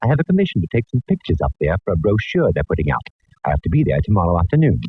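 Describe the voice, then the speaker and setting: Pompous, low-voiced, husky radio gentleman. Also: huge pitch swings, very fast, no room sound, heavily acted. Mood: droll, coaxing. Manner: pompous, flowery, droll. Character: urbane, theatrical gentleman photographer, detective's droll friend. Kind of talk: 1940s radio drama acting. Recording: tinny, narrow-band old radio recording.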